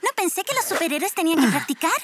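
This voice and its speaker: A woman's voice: very high-pitched, expressive, racing. A woman playing an eager, admiring, cheerful young girl with a Latin American Spanish accent in a dubbed children's show. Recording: music behind.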